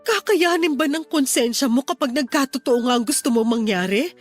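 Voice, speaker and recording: A woman's voice: sits high, expressive, a little fast. A Filipino woman playing a desperate wife and mother pleading earnestly with her sister-in-law in a radio drama. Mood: distressed, insistent. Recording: music under the dialogue.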